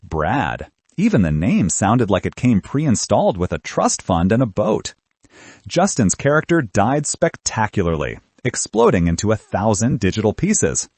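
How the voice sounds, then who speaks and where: A man reading a scripted novel aloud in a wry, animated narrator's style. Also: on the low side, unhurried, breathy edge, no room sound. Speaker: audiobook narrator.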